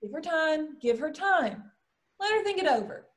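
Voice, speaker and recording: A woman acting as a brassy, worldly dame with a very high voice. She coaxes and needles in slangy, blunt stage-play style. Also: lively intonation, normal speed. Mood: coaxing, knowing. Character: Babe, brassy, worldly showgirl friend, coaxing and blunt. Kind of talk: virtual stage play table read.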